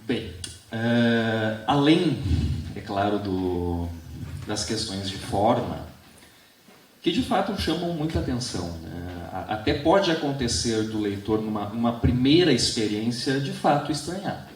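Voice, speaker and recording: A man's voice: on the low side, expressive, slight background noise. Reflective man, echoey hall. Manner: reflective, measured, frequent "né". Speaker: professor on a literature panel. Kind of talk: panel discussion with personal anecdotes. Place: auditorium at a literary festival. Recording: echoey hall, distant mic.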